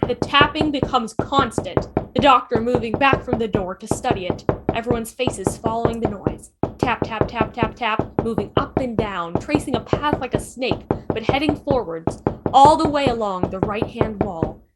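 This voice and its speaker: Female narrator, high register, punchy dramatic reading of stage directions for an amateur script read. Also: normal speed, heavy background noise. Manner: punchy, reads sound effects aloud.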